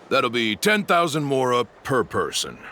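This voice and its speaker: Shifty male animal keeper, medium pitch, extremely varied intonation, theatrical, salesman-like, video game hustler. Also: wildly swooping pitch, unhurried, sharp tone, a little hiss or hum, heavily acted. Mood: hustling.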